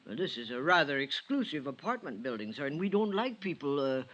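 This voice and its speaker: Fussy high-pitched apartment attendant. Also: male voice, big pitch swings, brisk, fairly bright tone. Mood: snooty politeness. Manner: prissy, deferential yet snooty. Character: stuffy, fussy male apartment-building attendant. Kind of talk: 1950s radio drama acting. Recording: muffled 1950s radio recording.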